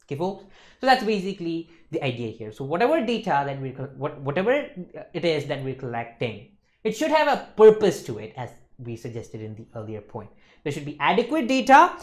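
Young guy teaching a revision class, really animated pitch, explains stuff emphatically and keeps checking you follow. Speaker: instructor. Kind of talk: exam revision lecture. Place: indoor lecture studio with slides.

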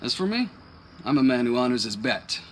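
A man's voice: mid-range voice, big pitch swings, fairly quick, a little hiss or hum, close to an everyday voice. An actor playing an affable, honorable male street-racing boss in a video game, polite, composed and articulate. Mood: gracious, admiring. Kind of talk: video game cutscene acting.

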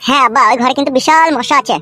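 A man's voice: extremely high pitch, rapid-fire, pushed and bright, heavily acted. A man voicing a whiny, fatalistic young son in a comedy cartoon, deferential. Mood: plaintive, deferential. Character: whiny, fatalistic young son tagging after his father. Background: music.

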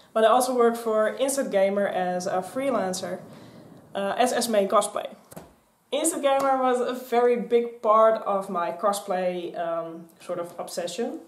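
A young woman in her twenties with a Dutch accent, chatting casually to camera in a rambling, animated way. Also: mid-range voice. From Netherlands. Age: 20s. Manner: chatty, rambling, gesturing a lot. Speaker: vlogger. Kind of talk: casual vlog chat. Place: small craft room with shelves and mannequins, indoors. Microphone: camera on a stand in front. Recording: echoey room.

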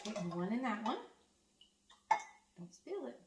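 A woman's voice: on the high side, big pitch swings, takes it slowly, very noisy. A woman in her fifties, a grandmother, rambles cheerfully to the camera in her home kitchen. She talks in a loose, chatty way, full of fillers and restarts, recorded on a camera close by. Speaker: farm vlogger, grandmother. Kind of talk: casual vlog chat. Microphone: camera close up, then further off at the counter.

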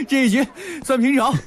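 An actor playing a playful, affectionate young male student who gently teases in an audio drama. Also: very high voice, full character voice. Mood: cheerful. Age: young adult.

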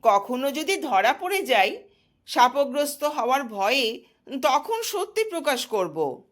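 A woman's voice: high voice, sing-song, takes it slowly, exaggerated voice. A woman storyteller voicing a wary, stern shadow goddess with a solemn, warning manner.

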